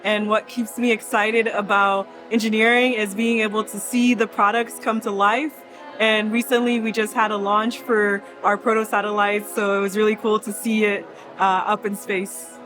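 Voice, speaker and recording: A woman's voice: mid-range voice, little pitch movement, moderate pace, a bit bright, faint music behind. A young adult woman, introducing herself informally as a panelist and engineer. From born in Ghana, grew up in Washington state, US.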